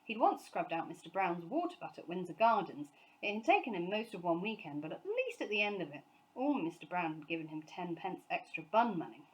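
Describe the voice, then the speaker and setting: A woman's voice: on the low side, big pitch swings, fairly quick, poor audio. A woman reading a children's storybook aloud as scripted narration. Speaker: storybook reader, teacher.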